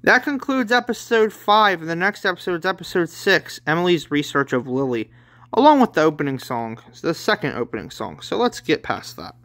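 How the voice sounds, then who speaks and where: A man reading his own story aloud in a halting way, with informal asides. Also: fairly high pitch, sing-song, fairly bright tone. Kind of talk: read-along story narration with asides.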